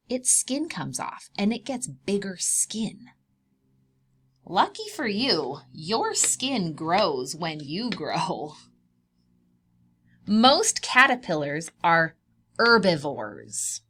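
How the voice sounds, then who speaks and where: A woman reads aloud as a preschool teacher to young children, at a medium pitch with a highly varied melody. She goes slowly and draws out key words. Her explanations are simple, and she puts questions to the listeners as she goes. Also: pushed and bright.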